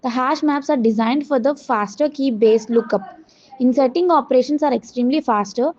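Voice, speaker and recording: A woman student reading out a scripted project presentation in a halting way, with stumbles and self-corrections. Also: high voice, darkish tone. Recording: dull, muffled lo-fi audio.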